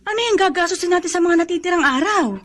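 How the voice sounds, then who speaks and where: A woman's voice: very high-pitched, fast talker, fairly bright tone. A woman acting in a radio drama as a patient, protective middle-aged mother, tender and pleading. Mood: worried.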